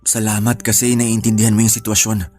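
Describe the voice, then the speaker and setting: An actor playing a worried, devoted young son in a radio drama, with an earnest, troubled way of talking. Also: male voice, lowish voice, monotone, a little fast, darkish tone, natural voice.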